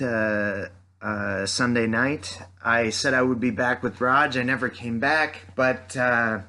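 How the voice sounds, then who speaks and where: A man hosting a podcast in a casual, halting way, introducing material and asking questions with hesitant phrasing. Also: medium pitch, sharp tone. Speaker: podcast host.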